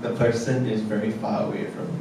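A man, an actor, speaking candidly and a little haltingly at a post-show theatre panel. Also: medium pitch, little pitch movement. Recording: echoey hall, distant mic, noise.

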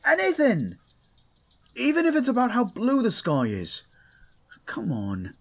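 A woman's voice: fairly high pitch, wildly swooping pitch, measured pace, voice pushed up, heavily acted. A woman playing a restless, blunt young fantasy adventurer in an audio roleplay, bantering and exclaiming.

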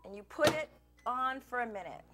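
A woman's voice: a little high, brisk, loud music behind the voice. A woman in her forties hosting a cooking show, talking casually to camera as she mixes pizza dough in a kitchen. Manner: chatty and relaxed, talks while mixing dough. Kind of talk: demonstrating a recipe to camera. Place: home kitchen set, indoors.

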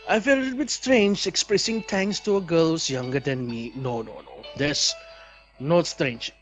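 A man's voice: a little high, normal speed. A man hosting a gaming video, reading a visual novel aloud expressively and adding his own commentary. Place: small indoor room, seated at webcam. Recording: slightly dull, lo-fi microphone sound. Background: faint game music.

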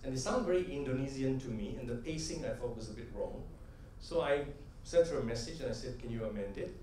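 Middle-aged male keynote speaker, mellow tone, lively storytelling, big echoey hall. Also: medium pitch, fast, slight background noise. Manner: animated storytelling, gestures, rhetorical questions. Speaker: conference speaker. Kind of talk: stage keynote talk with anecdotes. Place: large conference hall stage. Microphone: headset mic. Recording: echoey hall, heard from a distance.

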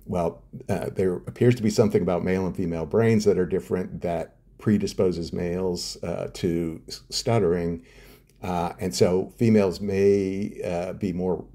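A man's voice: low voice, steady tone, normal speed, warm tone. A middle-aged man answering interview questions as a scientist guest, explaining step by step with many hesitant fillers and restarts. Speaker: neuroscientist guest.

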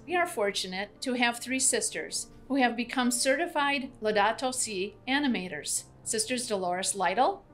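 Middle-aged woman, American accent, slightly high pitch, scripted announcement, background music. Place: bright indoor room with large windows.

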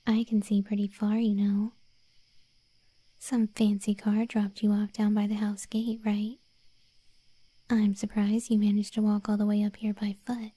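A protective monster girl, a gargoyle night guard, played by a woman for an ASMR roleplay. Her voice is very dark and soft, with a breathy whisper to it. She speaks slowly and intimately, right up at the mic in a dry space. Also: mid-range voice, little pitch movement, no room sound. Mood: stern, suspicious. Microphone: close microphone, dry.

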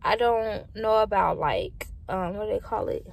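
A woman's voice: medium pitch, unhurried, vocal fry. A slightly tipsy woman vlogger chatting casually from inside a car, rambling and swearing freely. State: a little tipsy. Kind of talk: casual chat. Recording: noisy in-car recording.

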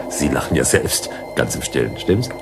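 Deep-voiced, teasing suave visitor. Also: male voice, sing-song, unhurried, vocal fry, background noise, heavily acted. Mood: teasing, ironic. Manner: teasing, questioning, ironic. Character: suave, probing male visitor, teasing and flirtatious. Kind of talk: German science-fiction radio drama acting.

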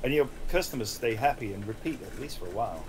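A man's voice: medium pitch, lively intonation, quick pace, noisy background, natural voice. A man playing a probing, insistent police detective in a script table read.

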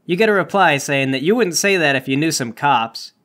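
A man's voice: fairly high pitch, lively intonation, fairly quick, fairly bright tone. A man acting as game master, mixing casual banter with narration for the players.

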